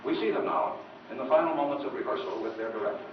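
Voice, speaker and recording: A man's voice: mid-range voice, fast, far from the mic, muffled recording, loud music behind the voice, lightly acted. An actor or announcer playing a grave newsman who narrates a 1950s television drama from a script. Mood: solemn, measured. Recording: old TV clip replayed in echoey room, noisy.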